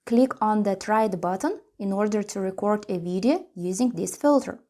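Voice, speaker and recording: A woman's voice: medium pitch, moderate pace, mellow. A woman presenting a tutorial, reading scripted step-by-step instructions.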